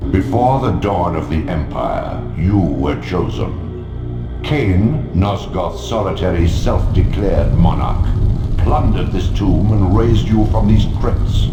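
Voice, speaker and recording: A man's voice: muffled recording, full character voice. A man voicing an ancient god-like spirit in a video game, solemn, portentous and commanding. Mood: grave, revelatory. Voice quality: deep, creaky. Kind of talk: dramatic video game narration. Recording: reverberant, loud music underneath.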